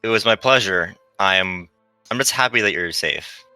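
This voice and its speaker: Guy doing a polite robot lady navigator from a visual novel, formal n hesitant, really bright voice, dry mic w music. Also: low voice, normal speed, clear, resonant tone, dry recording, full character voice. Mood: bashful, pleased. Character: polite, eager-to-please female android ship navigator. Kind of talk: visual novel read aloud.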